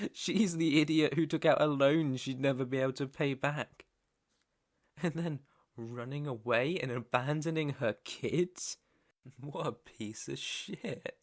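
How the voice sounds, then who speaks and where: A British man playing a menacing, teasing mob boss's son in an intimate ASMR roleplay, with a deliberate, taunting delivery. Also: fairly low pitch, vocal fry, exaggerated voice. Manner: slow, deliberate, taunting, swearing. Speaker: roleplay audio performer.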